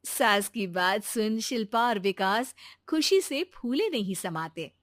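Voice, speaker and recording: A woman narrating a cartoon moral story in a calm, even storytelling manner. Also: medium pitch, slow pace, no room sound, clean studio sound.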